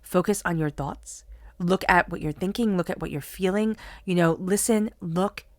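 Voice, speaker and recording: A woman's voice: fairly low pitch, normal speed, no room sound, clean studio sound. A woman reading tarot cards for her viewers, talking in an earnest, encouraging way while she has a cold. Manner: soothing guided meditation, then earnest spiritual pep talk. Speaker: tarot reader. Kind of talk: solo tarot reading to camera.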